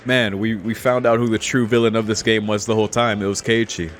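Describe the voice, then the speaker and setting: A man with a low voice talks over a game with music playing behind him. He commentates informally as he plays a visual novel, reading aloud and reacting with amusement in short exclamations. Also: little pitch movement, brisk. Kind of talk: casual let's play commentary with read-aloud passages.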